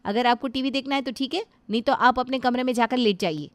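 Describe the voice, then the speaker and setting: A woman voicing a rude, sharp-tongued young daughter-in-law who scolds her mother-in-law. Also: a little high, fast talker, no room sound, voice pushed up, natural voice. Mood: scolding. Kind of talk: Hindi family drama acting.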